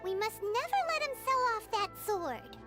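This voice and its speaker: A woman voicing a tiny, childlike fairy companion in a video game, squeaky, earnest and sing-song. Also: lively intonation, moderate pace. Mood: concerned. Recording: tinkling game music behind the voice.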